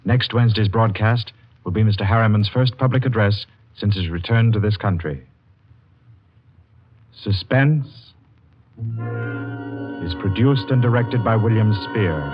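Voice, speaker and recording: A man announcing for a network radio show in a formal, crisp and measured 1940s style. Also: on the low side, faint music behind. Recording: dull 1940s radio broadcast.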